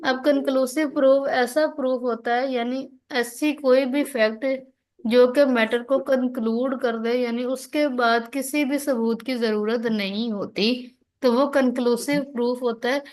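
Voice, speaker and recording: A Pakistani woman with a fairly high voice teaches law in an online class. She explains in an instructional way, mixing in English legal terms, and often checks that her students are following. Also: fairly even intonation, a little slow, darkish tone. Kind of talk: online lecture, explaining and reading out legal articles.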